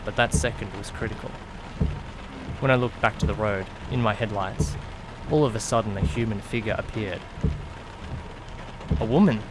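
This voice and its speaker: A man playing an anxious young student driver, narrating an eerie audiodrama in an expressive, brooding way with hesitant speech. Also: medium pitch, lightly acted. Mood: alarmed. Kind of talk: first-person dramatic narration, visual novel audiodrama.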